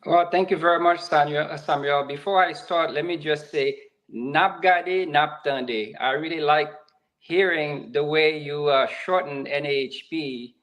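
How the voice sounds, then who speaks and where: A man in his fifties, born in Haiti, talking as a guest in a casual interview, reflective and halting with frequent fillers. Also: on the high side, fairly bright tone. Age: 50s. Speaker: guest, nonprofit executive director.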